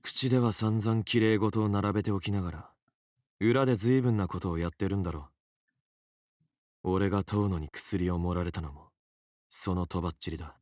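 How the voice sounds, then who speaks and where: A male actor plays a cool, defiant young man in a visual novel. The voice is low and dry, with blunt, quick, accusing delivery. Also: steady tone, a little mellow, no echo, lightly acted. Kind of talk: Japanese visual novel voice acting.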